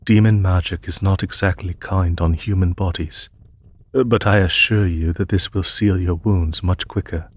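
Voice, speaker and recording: Deep, husky, courteous demon emperor played by a man. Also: steady tone. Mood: steady reassurance. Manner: formal, measured, reassuring, polite phrasing. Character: courteous, solemn, gentle male demon emperor rescuing an injured human. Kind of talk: scripted audio roleplay addressed to the listener.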